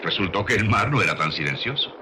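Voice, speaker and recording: A man reading scripted religious documentary narration in a solemn, measured, declamatory manner. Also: sits low, animated, noisy background. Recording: music behind the voice. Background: music.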